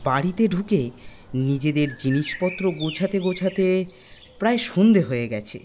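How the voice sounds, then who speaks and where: A man narrating a scripted ghost story in a deliberate, dramatic storytelling manner. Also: high voice, lively intonation, darkish tone, a little hiss or hum.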